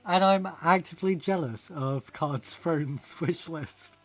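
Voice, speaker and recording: A man's voice: fairly high pitch, slow pace, no room sound. A man chatting casually on a game stream, rambling, laughing often and reading chat aloud. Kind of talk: casual live-stream chat while gaming.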